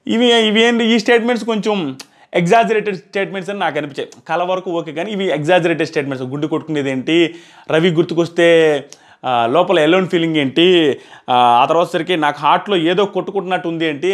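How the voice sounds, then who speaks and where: A man's voice: fairly high pitch, quick pace. A man gives a casual spoken review to camera, explaining and repeating his points for emphasis. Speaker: reality show reviewer. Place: small plain indoor room. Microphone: clip-on lapel mic.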